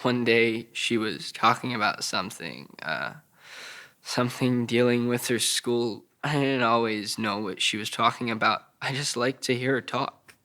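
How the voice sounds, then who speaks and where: An actor playing a troubled, childlike young man recounting abuse in a halting, stammering way at a table read of an audio drama. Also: medium pitch, sharp tone. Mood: haltingly confessional.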